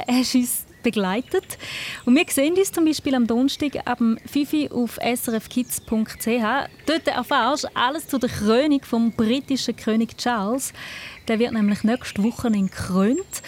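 Higher-pitched Swiss German woman radio host. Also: darkish tone, slight background noise. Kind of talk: scripted radio presenting for children.

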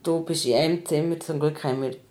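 A woman with a Swiss German accent speaks in a notably deep register for a woman. She is candid and colloquial, talking as in a casual personal conversation. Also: fairly even intonation, fast talker, mellow. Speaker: podcast host, parent.

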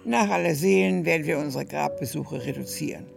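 Worried insistent woman in a radio play, super creaky voice, music behind. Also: low voice, brisk. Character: worried, insistent companion of an old grieving man. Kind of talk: radio drama acting.

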